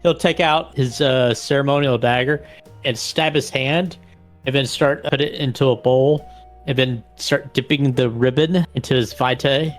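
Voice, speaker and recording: A man's voice: medium pitch, fairly even intonation, moderate pace, faint music behind. A man playing a tabletop vampire game, narrating his character's actions casually and often repeating words as he goes.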